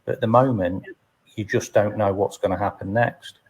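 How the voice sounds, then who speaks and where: A man's voice: on the low side, steady tone, moderate pace, a little mellow, slightly rough. A British man hosting a casual live interview, speaking in a hesitant, self-effacing way and repeating words. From United Kingdom. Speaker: host of a live chat.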